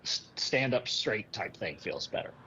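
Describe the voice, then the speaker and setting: A man representing a brand, explaining products earnestly in a conversational sales pitch with little anecdotes. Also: sing-song, measured pace, pushed and bright. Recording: muffled, noisy sound with rumble.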